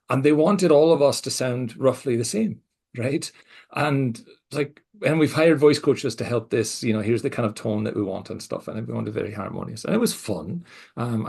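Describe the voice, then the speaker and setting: A middle-aged man with a mixed Scottish and American accent, co-hosting a casual podcast conversation and speaking reflectively, with halting restarts and soft laughs. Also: medium pitch, creaky, husky. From Scotland. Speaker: co-host.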